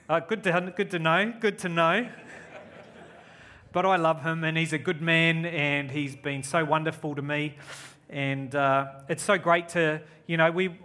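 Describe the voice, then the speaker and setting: A keen, upbeat man with a New Zealand accent preaches as a guest speaker at a church service. His voice sits a little higher than most men's, and he talks in an effusive, enthusiastic way. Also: bright, forceful tone. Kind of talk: live church sermon and prayer.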